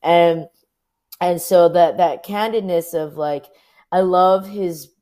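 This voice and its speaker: A woman's voice: on the low side, takes it slowly, warm tone. An American woman being interviewed as a photographer, talking casually and informally, thinking aloud as she goes. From Orange County, United States.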